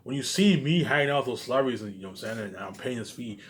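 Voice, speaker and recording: A man with a mid-pitched voice rambles informally to an audience of viewers. He sounds agitated and emphatic, swears freely and circles back over the same phrases. His phrase endings often drop away into short asides. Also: expressive, very fast. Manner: repetitive, with trailing asides at phrase ends.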